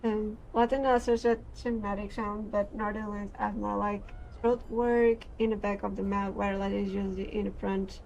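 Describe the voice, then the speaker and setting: A woman from Portugal, a gaming creator reading game text aloud and chatting in a halting way, full of fillers and restarts. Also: medium pitch, flat delivery, vocal fry, a little mellow, highs cut off, slight background noise.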